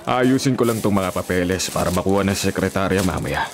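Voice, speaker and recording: Low-voiced middle-aged mayor Rino. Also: male voice, a little fast. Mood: surprised, affable. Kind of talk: radio drama acting. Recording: prominent music behind the voice.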